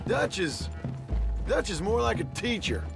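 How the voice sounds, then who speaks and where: An actor playing a proud, scornful American outlaw gunslinger in a video game, needling those around him. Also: male voice, high voice, very animated, measured pace, gravelly, over music, heavily acted. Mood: indignant, proud. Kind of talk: cinematic video game acting.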